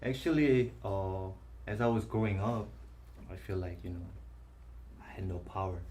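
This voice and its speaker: A man with a Korean accent, a native Korean speaker, giving an earnest, halting testimony as a talk show guest. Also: low voice, a little mellow, slight background noise. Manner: halting, earnest, filler-heavy. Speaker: guest, former missionary. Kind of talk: interview testimony.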